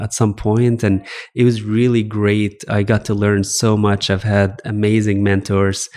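A middle-aged man from Egypt, a startup founder telling his career story in a relaxed interview, often laughing and saying "uh". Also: lowish voice. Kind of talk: casual interview talk. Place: office coworking space.

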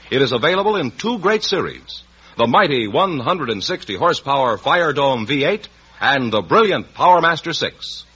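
An American man announcing in polished, formal 1950s radio style, like a smooth salesman. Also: mid-range voice, a bit bright. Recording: muffled 1950s radio broadcast.

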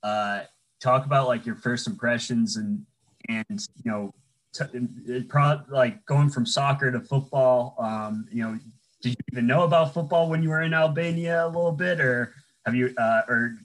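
A man's voice: medium pitch, moderate pace, a bit bright, rough recording. A young man hosting an interview in a loose, rambling way, full of fillers and frequent "man.". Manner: rambling, filler-heavy, says "man" often. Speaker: interview host. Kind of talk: casual interview.

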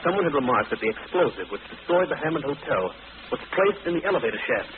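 An actor playing an anxious, dazed gentleman in an old radio melodrama, hesitant and dramatic in his delivery. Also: on the high side, very animated, fast. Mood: urgent, anxious. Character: anxious, dazed clubman haunted by a sudden recollection. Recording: noisy, muffled vintage radio recording.

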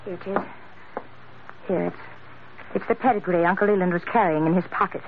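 A woman's voice: lowish voice, a little fast, lightly acted. Casting: actress as earnest, pleading young woman with a secret, classic radio melodrama, tinny vintage sound with light hiss. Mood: earnest, insistent. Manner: earnest, dramatic, insistent. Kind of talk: old-time radio drama acting. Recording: tinny, noisy old radio recording.

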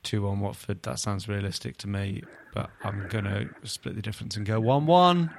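A man's voice: fairly low pitch, moderate pace, creaky, background noise. A man hosting a podcast in casual football chat, with a self-deprecating way of talking. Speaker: podcast host.

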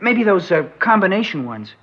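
Higher-voiced, eager teenage boy. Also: sing-song, moderate pace, slightly rough, full character voice. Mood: friendly, eager. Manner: eager, plainspoken, friendly. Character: helpful, cheerful seventeen-year-old grandson. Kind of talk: old-time radio drama acting. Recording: muffled, hissy old radio recording.

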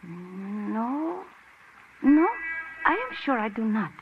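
A woman's voice: a little high, big pitch swings, very slow, background noise, heavily acted. An actress playing a serene, formal woman with a Chinese accent, speaking in stilted, polite phrases in an old radio drama. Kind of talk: 1940s radio acting. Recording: dull old radio recording.

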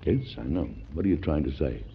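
An american president in an old radio play, stubborn and principled, big swings in pitch, gravelly edge, speechy, lo-fi sound. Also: male voice, animated, brisk, exaggerated voice. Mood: grave, measured. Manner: formal, emphatic, oratorical. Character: resolute, principled male American president in a history drama. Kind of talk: old-time radio drama acting. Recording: dull, lo-fi classic radio recording.

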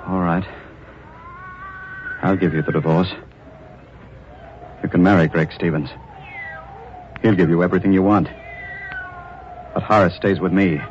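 An actor playing a bitter, obsessive sculptor and menacing husband in a 1940s radio melodrama, intense and brooding. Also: male voice, sits low, fairly even intonation, measured pace, pauses often. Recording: muffled, noisy old radio recording.

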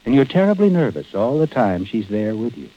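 Taunting, animated suspense narrator. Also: male voice, medium pitch, a little fast. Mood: insinuating. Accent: American. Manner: insinuating, dramatic. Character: knowing, taunting mystery narrator addressing the guilty man. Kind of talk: 1940s radio suspense narration. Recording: muffled old radio broadcast recording.

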